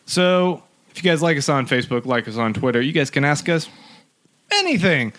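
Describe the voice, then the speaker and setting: Upbeat high-voiced male podcast host. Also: sing-song, normal speed, a bit bright. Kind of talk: casual podcast chat.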